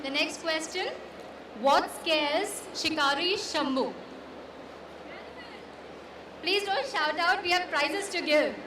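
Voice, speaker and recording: A woman's voice: extremely high pitch, slow, long pauses. A woman hosting a live quiz at an event, putting questions to the audience. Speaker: magazine editor and quiz host. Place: comic convention event. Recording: echoey noisy crowded hall, distant pickup.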